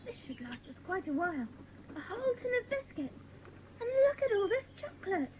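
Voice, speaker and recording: A woman playing an enthusiastic, dreamy young schoolgirl in a dramatised children's audiobook, with an expressive, gushing delivery. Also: extremely high pitch, fairly quick, very noisy. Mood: chatty.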